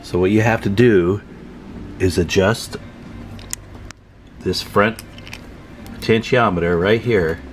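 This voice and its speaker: A man giving a casual hands-on repair tutorial, talking informally and haltingly as he thinks aloud while working. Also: lowish voice, a little hiss or hum. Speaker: video host demonstrating CB radio tune-up.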